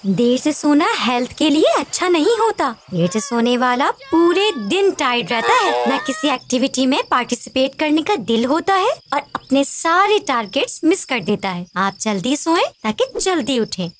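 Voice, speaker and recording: A woman playing a caring, gently scolding mother of young children in a cartoon. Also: high-pitched, very animated, normal speed, hardly any pauses, slight background noise. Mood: gently lecturing.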